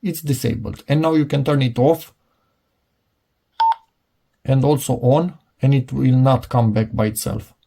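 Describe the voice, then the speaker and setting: A man's voice: mid-range voice, steady tone, unhurried, creaky, long pauses, mellow, slightly rough. A middle-aged man giving a casual, unscripted product demonstration, hesitant and rambling as he thinks aloud. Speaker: product reviewer.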